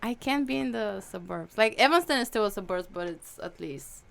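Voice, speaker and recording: A woman with a Bulgarian accent telling personal stories in a casual podcast chat. Her voice is smooth and clear, and she is playful, now and then hunting for the right English word. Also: mid-range voice, expressive, moderate pace, a bit bright. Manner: searches for English words, playful. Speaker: podcast guest.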